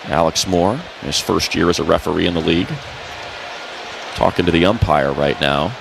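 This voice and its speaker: A man's voice: low-pitched, normal speed, gravelly. An American man calling live football play-by-play for the radio. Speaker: play-by-play announcer. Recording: noisy stadium booth broadcast. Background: stadium ambience.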